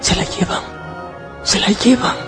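An actor playing a naive, bewildered young wolf cub with plaintive wonder in a radio drama. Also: male voice, measured pace, music in the background, natural voice. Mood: anguished.

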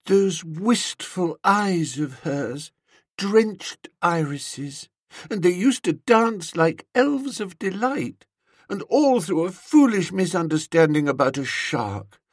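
Man putting on a soft, dreamy, sentimental young Englishwoman. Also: high-pitched, very animated, slow pace, dry recording, voice pushed up, heavily acted. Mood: wistful, mournful. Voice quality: soft, breathy, whispery. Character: dreamy, sentimental, wistful young woman, whimsical and slow-witted. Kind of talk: audiobook character voice.

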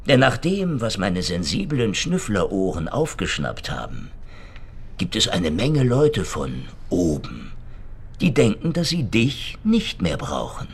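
An actor playing a cynical, streetwise Soviet secret police investigator in a German radio crime drama, sardonic, insistent and swaggering. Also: male voice, fairly low pitch, huge pitch swings, slow, slight background noise, exaggerated voice. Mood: cocky, threatening.

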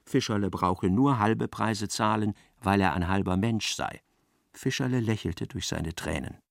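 An actor playing a dry storyteller, giving measured, precise literary narration in a radio play. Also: male voice, fairly low pitch, gravelly, no echo.

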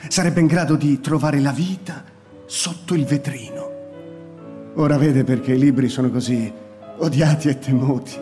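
Guy acting as a tired bitter old scholar, slow and measured, radio play, faint music under it. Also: dark tone, lightly acted. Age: older. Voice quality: measured, turns shrill in alarm. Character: weary old male scholar, bitter and frightened.

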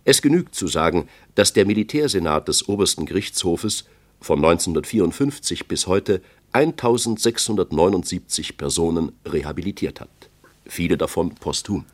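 Radio play role: Soviet party leader at the podium, deep and accusatory, oratorical with very varied intonation. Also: male voice, lively intonation, normal speed. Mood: grave, accusatory. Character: male Soviet leader Khrushchev delivering a grave accusatory speech. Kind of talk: formal political speech in a radio play.

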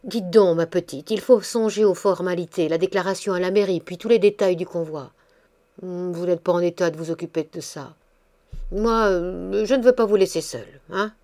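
A woman voicing a gruff but kindly old woman neighbor who fusses and takes charge in a bossy, brusque manner. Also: on the low side, normal speed, dark tone, natural voice. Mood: muttering.

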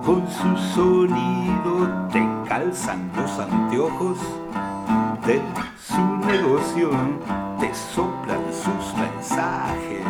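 A man with an Argentine accent singing his own songs in an unhurried, drawn-out way. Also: high-pitched, expressive, poor audio. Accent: Argentine Spanish. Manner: unhurried, drawn-out sung phrasing. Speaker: singer-songwriter. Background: acoustic guitar accompaniment.

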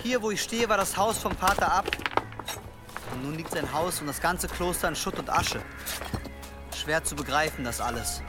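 A man's voice: on the high side, lively intonation, normal speed, long pauses, over loud music, lightly acted. A young man playing an earnest, careful, bookish monk in a German radio drama. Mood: sombre. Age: young adult. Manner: earnest, cautious.

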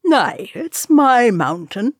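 A woman's voice: fairly high pitch, sing-song, slow pace, dry recording, studio quality, voice pushed up, heavily acted. Woman playing a boisterous, boastful young dwarf warrior. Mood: merry, teasing. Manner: hearty, bragging, teasing.

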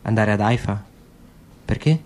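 An actor playing an anguished, weary and bitter husband in a dramatic literary reading for radio. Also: male voice, lowish voice, a little slow, darkish tone, voice pushed down, lightly acted. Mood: subdued, questioning.

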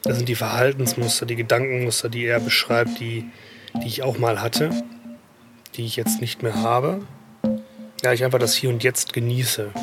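A man speaking a personal reflection like an audio diary, halting and repeating words as he thinks. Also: medium pitch.

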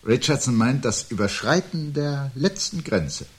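A man's voice: mid-range voice, animated, unhurried, slightly rough. An actor playing a weary, gloomy intellectual man in a radio play, speaking in a pensive, pedantic and declamatory way. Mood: ominous, lecturing. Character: gloomy, weary intellectual man who dreads birds and doom. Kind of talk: 1970s German radio play dialogue. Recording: hissy 1970s radio recording.

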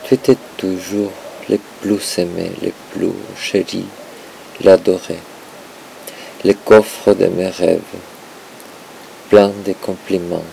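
Very slow, gravelly deep-voiced man reciting poetry. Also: flat delivery, mellow, slight background noise, poor audio. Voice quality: gravelly, creaky. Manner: halting, dramatic delivery in short broken phrases. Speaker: poetry translator and performer. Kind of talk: performative poetry reading.

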